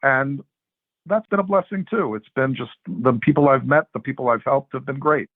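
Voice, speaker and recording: Phone-line man, measured podcast guest. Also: medium pitch, creaky, no echo. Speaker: interview guest, macro research strategist and investment adviser. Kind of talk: conversational explanation of markets on a podcast. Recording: narrow phone-like sound, dry.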